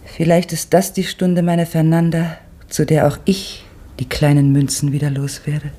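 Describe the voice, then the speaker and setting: A gentle mother in an old radio play speaks low and mellow. Her husky tone is soothing and measured, and she sounds quietly knowing. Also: little pitch movement. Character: calm, gentle mother of a schoolgirl. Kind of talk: 1960s radio drama acting.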